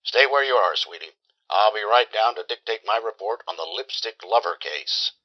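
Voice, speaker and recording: A man's voice: on the low side, expressive, normal speed, muffled recording, close to an everyday voice. A man playing a wry, world-weary private eye, narrating dryly in an old-time radio noir style. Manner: dry, sardonic. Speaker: lead actor and narrator.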